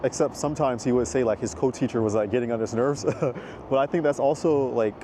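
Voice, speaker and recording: A man's voice: mid-range voice, brisk, warm tone, a little hiss or hum. An American man vlogging outdoors while walking, talking in a rambling, chatty way with lots of fillers. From United States. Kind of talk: casual walking vlog. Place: outdoors in a city park walkway.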